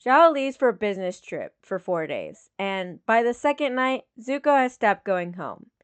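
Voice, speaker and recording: A woman reading dramatic fiction as a scripted narration, with measured, solemn phrasing. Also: medium pitch, big pitch swings, smooth. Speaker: audiobook reader of fan fiction.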